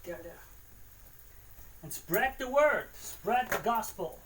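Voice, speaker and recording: A man's voice: high-pitched, expressive, slow, a bit bright, slight background noise, low-quality recording. A man with an Austrian German accent, chatting casually on a cooking livestream, rambling and repeating words as he talks to himself. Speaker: livestreaming home cook.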